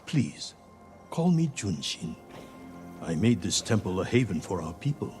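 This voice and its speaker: An actor playing a humble, devoted temple monk who speaks softly and courteously. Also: male voice, sits low, big pitch swings, measured pace, faint music behind. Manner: soft, modest and courteous.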